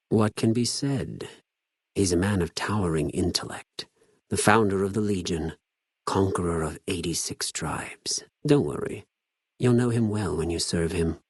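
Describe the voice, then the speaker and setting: An actor playing a cold, zealous, contemptuous legion spy in a video game, speaking formally with measured condescension. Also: male voice, sits low, expressive, slightly rough, no room sound, clean studio sound, exaggerated voice. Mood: cold reverence. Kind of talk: scripted video game character dialogue.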